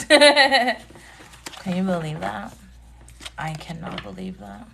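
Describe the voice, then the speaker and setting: Thirty-ish woman doing a tarot live, unhurried and chatty, saying hi to everyone by name, faint noise in the background. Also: lowish voice, huge pitch swings, creaky. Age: 30s. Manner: chatty, greets viewers by name and laughs often. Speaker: tarot reader hosting a live stream. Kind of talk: casual live-stream chat.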